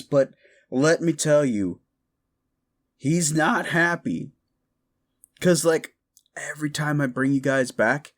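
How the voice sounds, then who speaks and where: A man playing a giddy, mischievous necromancer in a comedic audio roleplay, rambling, stammering and correcting himself excitedly. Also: fairly high pitch.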